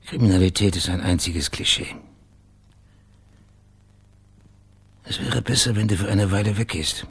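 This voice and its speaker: An actor playing a tough, cynical private investigator with a dry, clipped delivery in a German radio crime drama. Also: male voice, on the low side, fairly quick, creaky, a bit bright, breathy edge, exaggerated voice. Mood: grim, warning.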